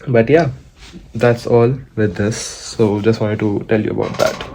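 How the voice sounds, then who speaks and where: A man's voice: lowish voice, fairly even intonation, unhurried, noisy background. Casual young man vlogging to camera. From India. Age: young adult. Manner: conversational, frequent restarts and fillers. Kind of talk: casual vlog talk to camera. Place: outdoors, walking.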